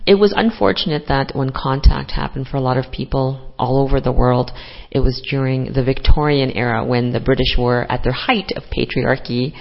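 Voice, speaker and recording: A man speaking in a measured way at a conference panel discussion, framing a question for a panelist. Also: medium pitch, creaky. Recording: dull lo-fi conference recording.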